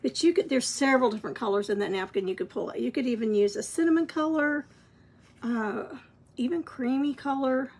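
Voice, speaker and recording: A woman's voice: a little high, brisk, darkish tone, breathy edge. A woman with a scratchy voice, teaching a live craft painting lesson in a chatty, encouraging way. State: scratchy voice, clearing throat. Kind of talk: live-streamed painting tutorial.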